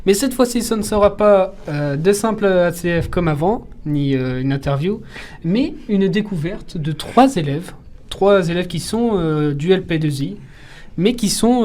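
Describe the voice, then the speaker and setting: A man's voice: on the high side, expressive, measured pace, darkish tone. A young man from Norway, speaking French as a second language, hosts a school interview with many hesitations and fillers. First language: Norwegian. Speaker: student interviewer.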